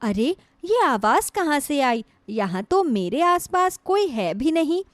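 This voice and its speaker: Long-suffering young wife, storybook tale, acted by a woman: high voice, despairing, plaintive, dry studio sound. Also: big pitch swings, takes it slowly, no echo, exaggerated voice. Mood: startled. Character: sorrowful, long-suffering young wife pouring out her grief. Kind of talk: Hindi moral storybook voice acting.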